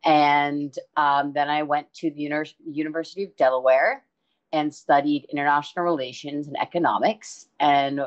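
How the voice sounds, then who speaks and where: A woman's voice: sits low, steady tone, normal speed, muffled recording. A woman in her twenties from Massachusetts, answering interview questions hesitantly with frequent ums. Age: 20s.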